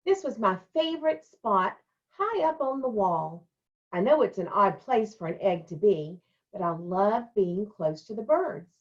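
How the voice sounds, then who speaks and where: A woman speaks as a school storyteller for young children, with a medium-pitched voice. Her phrasing is simple and deliberate, suited to a picture book read-aloud. The recording is muffled and lo-fi. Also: lively intonation. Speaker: elementary school staff member reading a picture book to children.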